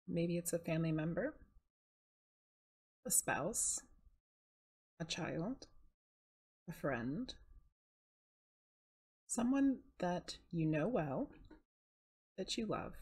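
A woman leading a guided meditation in a calm, soothing, unhurried manner, with a soft, breathy voice. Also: fairly low pitch, rough recording.

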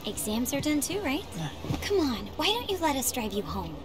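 A woman playing a confident, friendly, encouraging teenage girl in an animated game cutscene. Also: sits high, normal speed. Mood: friendly, assured. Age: teens.

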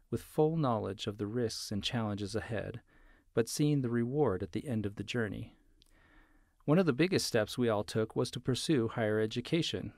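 A man delivers a formal, scripted graduation address. His voice has a noticeable gravelly creak and sits at an average male pitch, in a dry, close recording. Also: a little mellow, dry recording. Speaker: staff member reading a student's speech. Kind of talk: formal commencement speech, read aloud.

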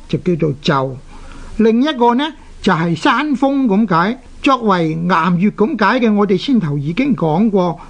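A radio language teacher of unclear sex with a Hong Kong Cantonese accent, lecturing deliberately in short phrases with drawn-out particles. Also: mid-range voice, darkish tone. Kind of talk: explanatory radio lecture on Chinese characters.

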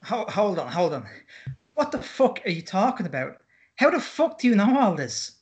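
A man's voice: high-pitched, sing-song, normal speed, a little hiss or hum. An actor playing a scared, indignant kidnapped man in a drama read-through, blunt and sweary as he demands answers. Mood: agitated, confused. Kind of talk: script read-through acting.

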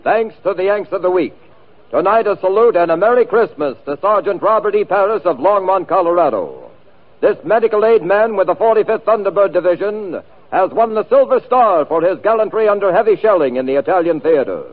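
High-pitched man announcing on old radio. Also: animated, a little fast. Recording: tinny vintage radio broadcast.

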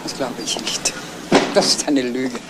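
A woman's voice: very deep voice, steady tone, measured pace. An actress playing a stern, guarded middle-aged woman with clipped, formal, defensive answers in a 1970s German crime radio play. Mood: bitter, defensive. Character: stern, guarded sister of a dead man, former nurse. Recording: noisy old radio play recording.

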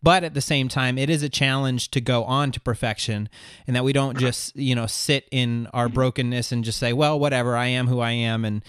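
Clear-voiced male podcast host. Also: mid-range voice, fairly even intonation, fairly quick, no room sound, clean studio sound. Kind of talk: conversational interviewing.

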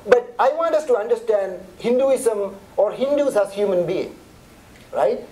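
A man's voice: sits high, animated, measured pace, mellow, low-quality recording. A middle-aged man from India with an Indian accent, presenting a church seminar in a chatty, conversational way with frequent restarts.

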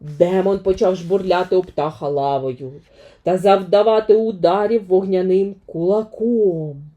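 A woman narrating a fairy tale for children, expressively and dramatically, with deliberate emphasis. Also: on the low side, dark tone, lo-fi sound. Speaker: children's storyteller.

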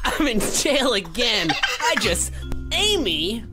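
A woman's voice: fairly high pitch, sing-song, slow pace, music in the background. A woman voicing a cocky, easily outraged young male hedgehog in a comedic game fandub, exaggerated and boastful. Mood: annoyed.

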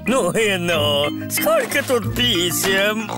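A man's voice: high voice, big pitch swings, drawn-out words, music in the background, exaggerated voice. A man voicing a friendly, busy zebra postman in a dubbed preschool cartoon. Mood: cheery, busy.

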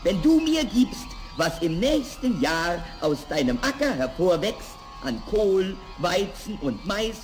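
High-pitched little devil. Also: male voice, very animated, slow, hardly any pauses, darkish tone, roomy sound, faint music behind, exaggerated voice. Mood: sly, boastful. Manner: theatrical, gloating. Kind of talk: 1960s German fairy-tale radio play acting.

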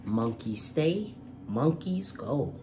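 A man's voice: mid-range voice, sing-song, takes it slowly, background noise. A man presenting and reading aloud as host of a children's storytime, with a courteous manner. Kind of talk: storytime read-aloud and channel chat.

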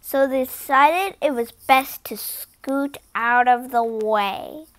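A young girl reading a storybook aloud with careful, expressive emphasis, a few stumbles and her own asides. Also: high voice, slow pace. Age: child.

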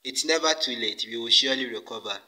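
A man's voice: mid-range voice, fast talker, sharp tone, a little hiss or hum, low-quality recording. A young man from Ghana giving a formal, speech-like recorded message indoors. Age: young adult.

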